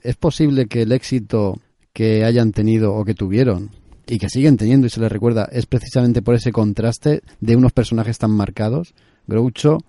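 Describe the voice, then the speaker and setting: A man hosting a conversational film podcast, articulate, laying out long questions in a measured way. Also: medium pitch, warm tone, no room sound, clean studio sound.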